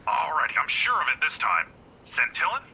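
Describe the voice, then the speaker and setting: An actor playing a friendly, forgetful older security guard who chats cheerfully and fumbles names in a voiced visual novel. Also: male voice, on the high side, big pitch swings, fairly quick, creaky, pushed and bright, slightly rough, dull audio, full character voice. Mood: jovial. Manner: chatty, jovial, fumbles names.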